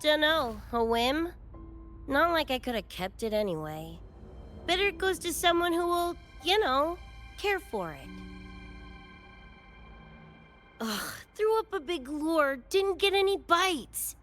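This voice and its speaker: A high, swooping young voice a scrappy cyberpunk gang girl in a game. She talks in blunt, cocky slang and takes her time, with some wistfulness underneath the bravado. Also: big pitch swings, a little slow, fairly bright tone, soft background music, full character voice. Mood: brash, casual. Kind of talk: animated game character dialogue.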